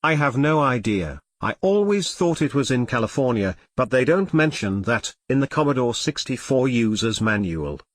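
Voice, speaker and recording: Text-to-speech man as a flustered male computer-elephant, theatrical complainer, medium pitch, very dry sound, audio comedy. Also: very animated, moderate pace, few pauses, dry recording. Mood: eager, whimsical. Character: melodramatic, easily flustered male Commodore 64 computer turned elephant. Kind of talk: scripted audio comedy drama.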